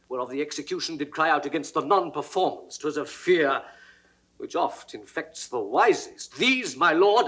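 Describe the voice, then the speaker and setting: An actor playing a loyal, courteous royal counsellor with a British accent, speaking formal Shakespearean verse earnestly in an audio drama. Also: male voice, on the high side, a bit bright, slightly rough, exaggerated voice. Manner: measured, deferential, formal declamation. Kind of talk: classical Shakespearean stage acting, 1960s audio recording.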